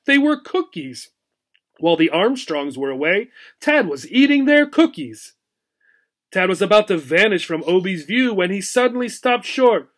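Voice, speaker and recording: Man, slightly high voice, audiobook reader, children's story narration, expressive delivery. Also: moderate pace.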